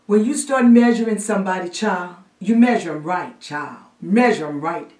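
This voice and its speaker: A woman's voice: mid-range voice, animated, normal speed, a little mellow, pitched above the performer's own voice, natural voice. A woman playing a stern, loving matriarch reproaching a grown child in emphatic vernacular in a stage drama. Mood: reproachful, anguished. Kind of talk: classic stage drama monologue. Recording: roomy echo, distant microphone.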